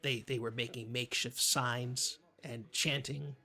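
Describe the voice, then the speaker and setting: A man playing an eager, bumbling small-town community radio co-host who talks haltingly in a comedy show, with many ums and false starts. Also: mid-range voice, fairly even intonation, bright, forceful tone, full character voice. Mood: hesitant, earnest. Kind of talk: improvised mock public access radio chat.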